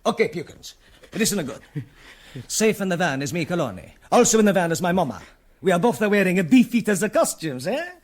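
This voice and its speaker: A man's voice: high voice, very animated, a little fast. A man playing a bossy, hot-tempered Italian crime boss with an Italian accent and broken English in a comic radio play. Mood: bossy, menacing. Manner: broken English, bullying, blustering.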